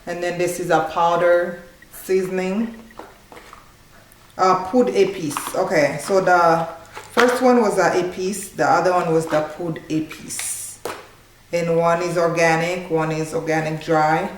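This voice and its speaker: A woman's voice: fairly low pitch, steady tone, unhurried. Chatty woman doing a casual unboxing vid, takes her time, roomy echo from the camera mic. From Haitian background. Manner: chatty, informal, exclaims with delight. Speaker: cooking channel host. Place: small living room at home, indoors. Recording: echoey room, clear background noise.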